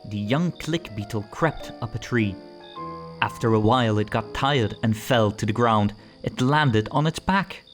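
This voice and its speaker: Storybook narrator reading for little kids, medium pitch, music playing underneath. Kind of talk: scripted read-aloud narration for children.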